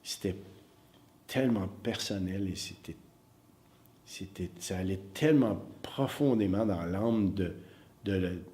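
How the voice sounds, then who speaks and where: A man's voice: sits low, unhurried, long pauses, dark tone. A middle-aged man from Northern Ontario, an acting teacher giving reflective interview answers, hesitant and full of fillers and repeated words.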